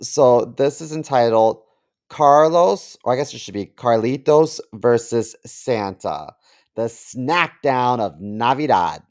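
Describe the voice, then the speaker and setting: A man's voice: medium pitch, lively intonation, takes it slowly, darkish tone. A man co-hosting a comedy podcast in casual banter and comic story reading. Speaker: podcast co-host.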